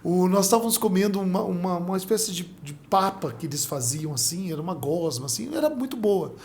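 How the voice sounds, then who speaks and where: A Brazilian man telling lively stories as a missionary guest in a casual interview. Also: high voice, fast talker. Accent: Brazilian Portuguese. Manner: animated, vivid storyteller.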